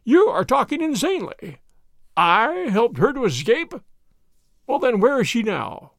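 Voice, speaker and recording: A man voicing an old, scornful professor, a cornered villain with a theatrical, high-keyed, spluttering delivery in a storybook. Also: very animated, unhurried, a bit bright, no room sound, studio quality, heavily acted. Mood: frantic, outraged.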